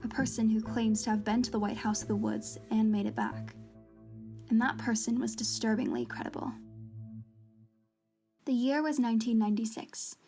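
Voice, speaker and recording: A woman's voice: fairly high pitch, steady tone, warm tone, natural voice. Actress as skeptical woman journalist over music. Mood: wry. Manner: measured, dryly skeptical. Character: composed, skeptical female investigative podcast journalist. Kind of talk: scripted radio drama interview and narration.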